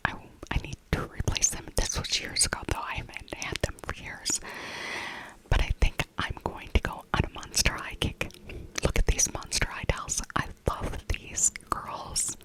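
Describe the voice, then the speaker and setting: A woman speaking in a breathy, unvoiced whisper, chatting directly to the listener in an ASMR shopping voiceover. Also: poor audio. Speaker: ASMR creator.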